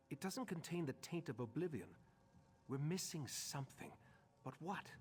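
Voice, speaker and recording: An actor playing an earnest, authoritative master of a mage order in a video game, measured and formal. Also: male voice, medium pitch, natural voice. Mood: grave, pondering. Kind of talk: video game character acting.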